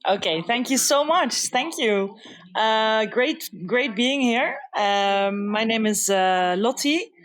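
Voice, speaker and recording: A woman's voice: a little high, animated, slow pace. A woman from the Netherlands, a culinary entrepreneur and interview guest, speaking earnestly with many hesitant fillers. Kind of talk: casual interview.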